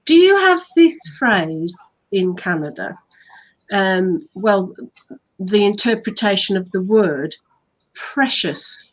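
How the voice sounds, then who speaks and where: A woman's voice: medium pitch, expressive, takes it slowly, rough recording. An English woman co-hosting a casual online chat, playful and fond of telling stories. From England. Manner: chatty, playful, storytelling. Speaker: author and hypnotherapist co-host.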